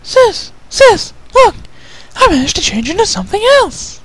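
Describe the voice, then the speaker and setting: An excitable, squeaky little changeling princess. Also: male voice, measured pace, heavily acted. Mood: excited, proud. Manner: whimpering, excitable. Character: little changeling princess, eager, pleading younger sister.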